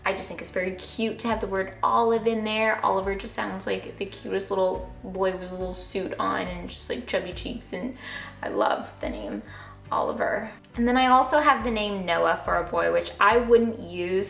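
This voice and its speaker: A young-sounding woman chats to her viewers in an easy, gushing way and laughs softly between thoughts. Her voice sits at an ordinary middle pitch. The room adds a noticeable echo, and faint music plays underneath. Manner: gushing and bubbly, with soft giggles. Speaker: vlogger. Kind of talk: casual chat to camera.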